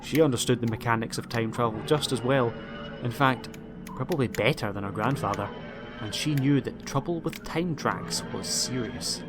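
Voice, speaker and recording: A man's voice: mid-range voice, moderate pace. A man narrating a scripted audiobook story in a dramatic storytelling style. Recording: music behind the voice.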